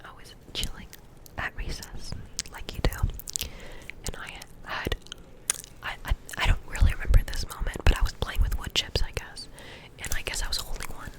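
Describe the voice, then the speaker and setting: A young woman getting over a cold, softly telling rambling, self-deprecating stories from her school days in an ASMR whisper. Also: moderate pace, poor audio. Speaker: vlogger.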